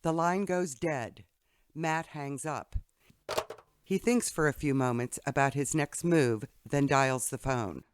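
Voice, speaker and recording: A man's voice: on the high side, slow, a little hiss or hum. A man reading scripted narration as the narrator of a radio play. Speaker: narrator of stage directions.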